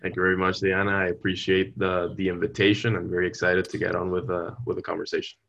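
A man's voice: sits low, monotone, quick pace, vocal fry, a bit bright, dull audio. A young man from Venezuela, a university student answering interview questions in an earnest, polite way, with long careful sentences and small restarts. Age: young adult. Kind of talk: conversational interview.

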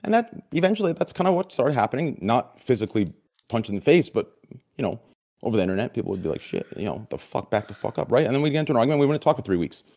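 A deep-voiced man chatting candidly as an interview guest, excitable and blunt, swearing casually and often checking that he is understood. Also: racing. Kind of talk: casual confessional chat.

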